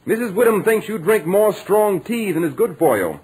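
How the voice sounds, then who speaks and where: An actor playing a grave, probing doctor with husky voice and hesitant, deliberate phrasing in a 1940s radio horror drama. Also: male voice, high voice, animated, a little mellow, heavily acted, rough recording. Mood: courteous, probing. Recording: husky, worn old radio recording.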